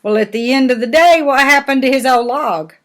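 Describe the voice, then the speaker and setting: A woman's voice: sits high, a little fast. An older woman, a grandmother reading a storybook to young children and chatting about it in a folksy, simple way. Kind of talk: storybook read-aloud with chatty asides to children.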